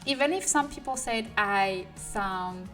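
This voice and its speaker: A woman's voice: medium pitch, expressive, a little slow, a bit bright. A woman from France with a French accent, chatting casually on a livestream while she works, often muttering to herself or dropping to a whisper. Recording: distant mic with music behind.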